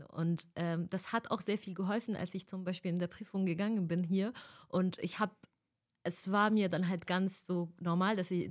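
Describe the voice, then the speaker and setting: A woman's voice: medium pitch, darkish tone. Chatty young woman from Egypt. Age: 20s. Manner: chatty, many fillers, laughs often. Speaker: interviewee, student. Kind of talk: casual interview chat.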